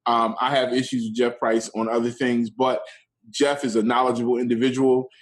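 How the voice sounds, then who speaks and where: A man co-hosting a podcast, giving relaxed shout-outs and announcements in casual chat. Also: mid-range voice, fairly quick. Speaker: podcast co-host.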